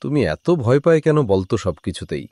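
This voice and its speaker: A man playing an easygoing but firm husband and kindly uncle in an animated horror drama, talking in a reassuring, conversational way. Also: mid-range voice, normal speed, dry recording, clean studio sound, natural voice.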